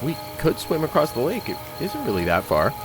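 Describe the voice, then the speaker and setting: A man's voice: on the high side, huge pitch swings, fairly quick, soft background music. A man playing a timid, hesitant monster hunter sidekick in a comedy audio drama. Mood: hesitant, meek.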